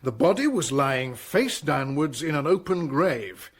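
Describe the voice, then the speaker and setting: Earnest, methodical police inspector. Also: male voice, on the high side, lively intonation, measured pace. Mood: grave report. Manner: formal, methodical, reporting carefully. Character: earnest, deferential male French police inspector. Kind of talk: radio drama acting.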